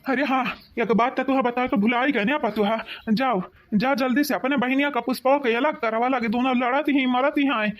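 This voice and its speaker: Cartoon role: woman voicing an older Awadhi village man, whining and coaxing, pitched high. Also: normal speed, lightly acted. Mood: urging. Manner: pleading, repetitive coaxing. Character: whining old village man, father-in-law. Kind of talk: cartoon voice acting.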